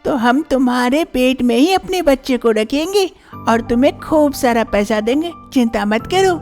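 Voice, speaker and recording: A woman's voice: high voice, lively intonation, moderate pace, darkish tone, soft background music. A woman voicing a kindly, well-off young employer longing for motherhood in a cartoon story. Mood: coaxing.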